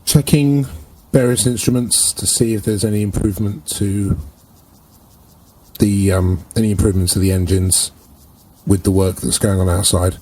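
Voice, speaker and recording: Over some faint background noise, a man with a fairly low voice plays a tabletop roleplaying game. He describes his character's actions casually and a little hesitantly. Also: fairly even intonation, moderate pace, mellow, rough recording. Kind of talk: casual improvised roleplay narration.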